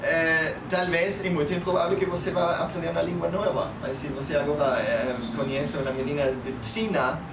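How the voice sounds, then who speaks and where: A man, telling stories and giving advice casually, haltingly, mixing in foreign words. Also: a little high, steady tone, gravelly. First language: German. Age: 30s. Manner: halting non-native speech peppered with Spanish and English words and tag questions. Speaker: guest, public speaker. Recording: echoey, noisy, distant mic.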